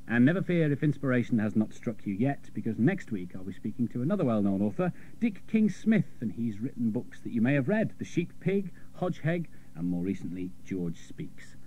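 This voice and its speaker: Mellow English male radio presenter, lively delivery. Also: mid-range voice, a little fast, few pauses, slight background noise. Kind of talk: children's magazine show presenting and interviewing. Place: radio studio. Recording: dull old cassette radio recording.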